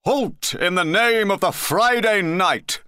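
A man's voice: high-pitched, slow pace, pushed and bright, clean tone, no echo, studio quality, pitched above the performer's own voice, heavily acted. A man voicing a small, bumbling knight who tries to sound big and bad in a children's story. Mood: menacing. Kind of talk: children's storybook character reading.

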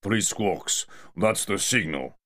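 A man voicing a burly, bear-like dockside mafia man who speaks calmly and unhurriedly. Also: sits low, slow, heavily acted.

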